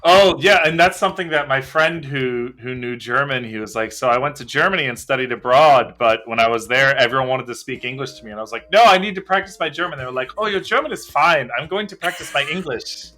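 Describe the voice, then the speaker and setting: Bright, excitable male host. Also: mid-range voice. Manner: excitable, laughing, quick asides. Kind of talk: casual video chat.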